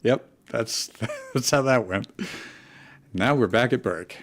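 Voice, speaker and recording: A man chatting casually as co-host, improvising a recap and pausing to search for words. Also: mid-range voice. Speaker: co-host of a fanfiction reading.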